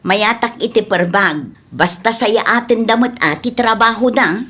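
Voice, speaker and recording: A woman's voice: a little high, measured pace, sharp tone. A woman acting a practical, bossy grown daughter in a comedy radio drama, with an Ilocano accent. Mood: firm, instructing.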